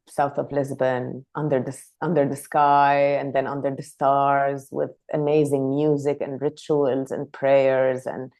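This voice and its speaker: A woman from Lebanon who grew up speaking Arabic, talking as a podcast guest in a reflective, soft-spoken way. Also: rumbling low. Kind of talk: casual conversational interview.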